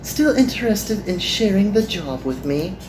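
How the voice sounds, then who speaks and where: A man's voice: high-pitched, big pitch swings, unhurried, voice pushed up. Guy doing a flirty bossy anime magical woman, drawls her taunts, condescending, echoey with some noise behind. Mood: probing. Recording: roomy echo, some background noise.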